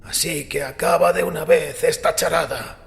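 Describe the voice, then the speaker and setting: Devout old male priest, played by a man, higher gravelly voice, resolute, long church-like reverb. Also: steady tone. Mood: weary defiance. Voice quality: creaky, gravelly. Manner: solemn, deliberate, resolute. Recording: long echoing hall reverb, music underneath.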